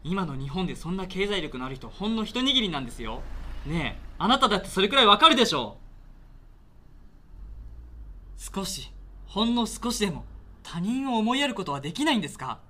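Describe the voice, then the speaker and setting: A man's voice: high-pitched, very animated, fast, sharp tone, full character voice. A man playing an earnest, indignant railway station attendant in a radio drama, speaking in polite but insistent, reproachful sentences. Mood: impassioned anger. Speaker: actor in an amateur radio drama. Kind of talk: naturalistic radio drama dialogue.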